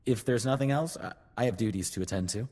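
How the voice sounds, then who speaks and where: An actor playing a smug noble in a scripted video game scene. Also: male voice, mid-range voice, quick pace, darkish tone, lightly acted. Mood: amused, dismissive. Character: smug male noble receiving tribute. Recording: hall reverb.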